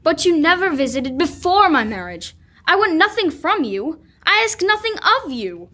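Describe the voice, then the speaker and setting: A young woman playing a cheerful, warm-hearted young nephew in a live radio drama, earnest and pleading. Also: extremely high pitch, big pitch swings, moderate pace, heavily acted. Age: teenager or young adult. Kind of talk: live old-time radio drama reading.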